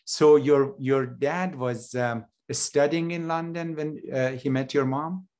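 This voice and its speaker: A man, hosting a conversational interview with curious, informal, halting questions over a video call. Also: on the high side, steady tone, a little mellow. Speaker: interview host. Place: small furnished room at home, video call.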